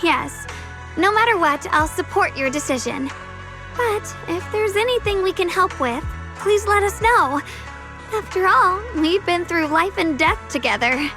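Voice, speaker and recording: A woman's voice: very high voice, expressive, measured pace, music in the background. A woman playing an earnest, humble young heroine in an anime-style game, sincere and a little hesitant. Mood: supportive.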